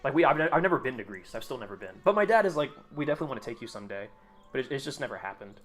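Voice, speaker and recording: A man's voice: mid-range voice, lively intonation, rapid-fire, fairly bright tone. Rambling young American man, casual chat. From United States. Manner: rambling, loose, lots of fillers and restarts. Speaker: streamer. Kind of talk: casual chat while gaming.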